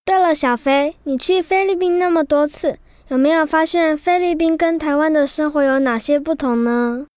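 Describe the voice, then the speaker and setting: A woman's voice: high-pitched, normal speed, hardly any pauses, darkish tone, no room sound, lightly acted. A woman playing a polite, curious, easily amazed schoolchild in an educational radio drama.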